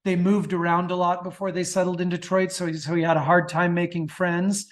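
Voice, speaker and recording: A man's voice: sits high, flat delivery, brisk. A man answering as a guest author in a conversational interview, often repeating words as he thinks aloud.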